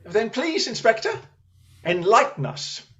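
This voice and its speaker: A man acting a brilliant, brisk detective in a radio play, sardonic and intense, on slightly dull audio. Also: high voice, very animated, sharp tone, full character voice. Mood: dryly probing.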